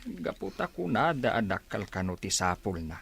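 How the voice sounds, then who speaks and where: A man with an Ilocano accent playing a humble, easily hurt older village herbal healer in a radio comedy drama, with a gravelly, husky voice. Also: lowish voice, big pitch swings, normal speed, fairly bright tone, exaggerated voice.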